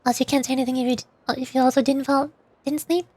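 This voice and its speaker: A woman's voice: high voice, flat delivery, rapid-fire, darkish tone, breathy edge, no room sound. A young woman streamer chatting in a playful, teasing way and laughing often. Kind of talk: casual chat while voicing game lines.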